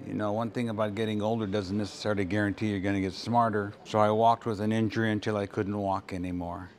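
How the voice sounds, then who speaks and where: A man in his seventies from California, calmly telling of an injury while seated indoors on a sofa, wearing a lapel mic. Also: lowish voice, fast talker. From California, USA. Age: 70s. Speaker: pilgrim interviewee. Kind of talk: reflective storytelling.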